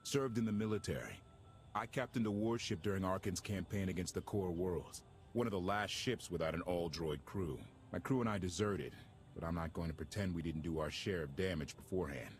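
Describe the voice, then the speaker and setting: A man's voice: deep voice, brisk, a little hiss or hum, close to an everyday voice. A man playing a blunt ex-warship captain. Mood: serious. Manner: casual, plain-spoken. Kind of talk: video game cutscene acting. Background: game music.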